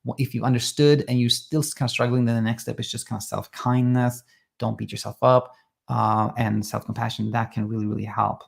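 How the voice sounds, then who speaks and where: Gravelly-voiced Swedish-raised man coaching. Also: mid-range voice, a little fast. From Sweden. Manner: calm, reassuring, thinks aloud with restarts. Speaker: sleep coach. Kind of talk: live Q&A advice talk.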